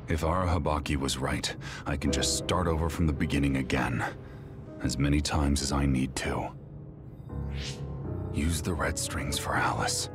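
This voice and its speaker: A man voicing an anguished, brooding game character who speaks haltingly and dramatically in short broken phrases. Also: extremely low pitch. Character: anguished, brooding man obsessed with saving a lost woman. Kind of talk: anime game voice acting. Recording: loud music over the voice.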